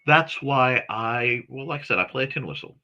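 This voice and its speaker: A man's voice: medium pitch, animated, moderate pace, pushed and bright, highs cut off. A man hosting a casual morning livestream, chatting with viewers in a rambling, chatty way and often correcting himself mid-sentence. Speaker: host of a morning talk stream.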